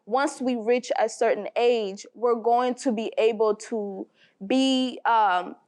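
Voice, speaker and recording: A woman in her twenties from Tanzania, a talk show guest who talks in an animated way and searches for her words. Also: fairly high pitch, slow pace. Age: 20s. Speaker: panel guest. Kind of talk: casual discussion.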